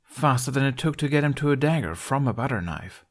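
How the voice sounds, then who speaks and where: A man's voice: medium pitch, expressive, fairly quick, voice pushed up, close to an everyday voice. A man voicing a tough, exasperated young warrior woman in a fantasy audio story, blunt and teasing.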